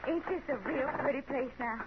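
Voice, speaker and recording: Woman acting a high-voiced old frontier mother. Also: normal speed, natural voice. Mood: eager, hospitable. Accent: rural American. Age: older. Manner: folksy, chatty, apologetic. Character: lonely, talkative old frontier mother, meekly devoted to her son. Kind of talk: 1950s radio Western acting. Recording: muffled, noisy 1950s radio recording.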